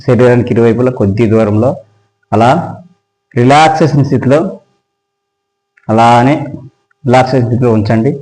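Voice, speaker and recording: A man guides a relaxation meditation as a yoga instructor, speaking slowly and soothingly with pauses. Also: medium pitch, a little mellow, low-quality recording.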